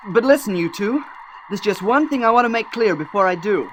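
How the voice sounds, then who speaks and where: A woman's voice: mid-range voice, animated, brisk, lightly acted, low-quality recording. A woman playing a practical, plain-spoken boy space adventurer in an old-time radio drama, matter-of-fact and colloquial. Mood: sober, determined. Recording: vintage radio recording, faint noise.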